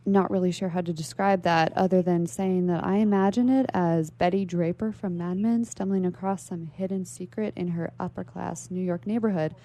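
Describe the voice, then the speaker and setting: A woman with a somewhat low-pitched voice speaks as a radio guest. She explains things informally, as in an interview. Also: steady tone, moderate pace, hardly any pauses, dark tone.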